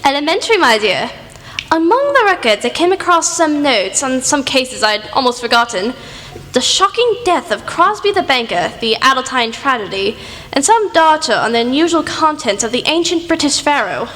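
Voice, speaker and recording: A woman's voice: high voice, very animated, moderate pace, fairly bright tone. A woman playing a genteel, sentimental English lady doctor and storyteller in a radio play, recorded in an echoey hall. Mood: genial, reminiscing. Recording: echoey hall, music behind.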